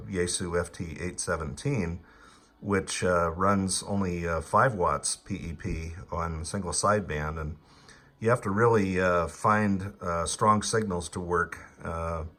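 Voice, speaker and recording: Very deep-voiced man chatting technically. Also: bright, forceful tone. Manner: hesitant, technical, explanatory. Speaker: amateur radio operator, channel host. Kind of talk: casual ham radio chat.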